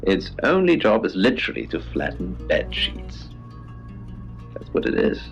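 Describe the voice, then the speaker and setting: Poe, deep creaky deadpan radio host. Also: male voice, unhurried, exaggerated voice. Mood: deadpan, eerie. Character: Poe, eccentric male radio host and curiosity shop keeper. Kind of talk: late-night radio show banter. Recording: band-limited radio sound over music.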